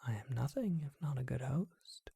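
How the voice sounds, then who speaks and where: A performer voicing a charming, courteous, bookish vampire shopkeeper in a breathy, husky whisper, with genteel and hesitant phrasing, for an ASMR roleplay. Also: mid-range voice, lively intonation, normal speed, warm tone, no room sound, studio quality, full character voice. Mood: coaxing, courteous. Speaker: bookshop owner.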